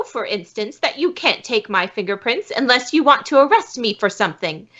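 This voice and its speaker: A woman acting an indignant, high-voiced lady swindler. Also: bright, forceful tone, highs cut off, exaggerated voice. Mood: indignant, defiant. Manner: indignant, clipped, dramatic. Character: young failed actress forger posing as indignant old woman, bitter. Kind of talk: radio police drama acting.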